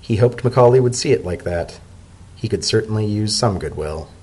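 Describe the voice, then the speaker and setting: A man's voice: lowish voice, animated, normal speed, darkish tone, breathy edge. A man narrating a story as a dramatic reading.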